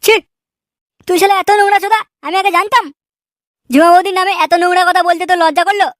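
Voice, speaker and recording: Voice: very high-pitched, fairly even intonation, fast, a bit bright, no room sound, studio quality. A performer voicing an abusive, scornful villager in a Bengali comedy cartoon, with a crude taunting manner. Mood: disgusted.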